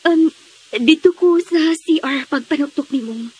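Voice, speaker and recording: A very high-voiced, anxious mother in a Filipino radio drama. She speaks with a Visayan accent in a fretful, emotive, evasive way, like someone guarding a secret. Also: measured pace, darkish tone. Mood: nervous, secretive.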